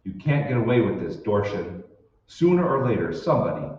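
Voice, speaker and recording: A man plays a determined radio-drama hero with a fairly low, animated voice. He is urgent and emphatic. The recording is muffled and very echoey, as from far off. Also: brisk. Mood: tense, determined. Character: determined, earnest man uncovering a conspiracy. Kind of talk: old-time radio drama acting. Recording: echoey hall, distant, muffled, noisy.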